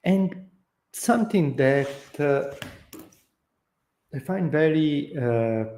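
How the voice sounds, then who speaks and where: A man's voice: on the high side, expressive, very slow, a little mellow. A middle-aged man with an Italian accent, a native Italian speaker, giving a thoughtful, unscripted talk with frequent fillers and restarts. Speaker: host. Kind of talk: casual talk.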